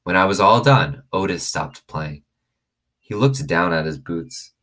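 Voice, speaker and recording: A man reading a children's novel aloud as an expressive storyteller. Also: deep voice, a little slow.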